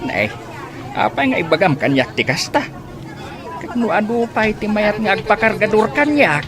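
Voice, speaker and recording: A man playing a gossipy, plain-spoken working-class uncle in a radio drama, husky, with an Ilocano accent. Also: high-pitched, animated, moderate pace, a crowd in the background. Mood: weary, grumbling.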